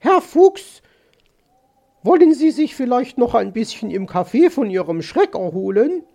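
A man plays a fussy lady magpie from a children's storybook. The voice sits very high and jumps out in excitable, easily startled exclamations. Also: a little slow, creaky, exaggerated voice. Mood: concerned, surprised.

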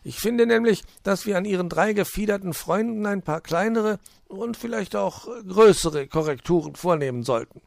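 A man voicing a jovial, hospitable male fox in a storybook, speaking with cheerful, polite warmth. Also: high-pitched, expressive, normal speed, vocal fry, no room sound, clean studio sound, pitched above the performer's own voice. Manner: warm, cheerful, politely formal. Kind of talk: storybook character voice.

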